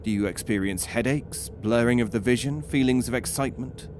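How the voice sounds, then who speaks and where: A man's voice: mid-range voice, brisk, a little hiss or hum, close to an everyday voice. A man playing an uneasy, stern doctor in an audio horror drama, speaking with formal, clinical control. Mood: clinical, probing. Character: uneasy, stern British male doctor interrogating a prisoner.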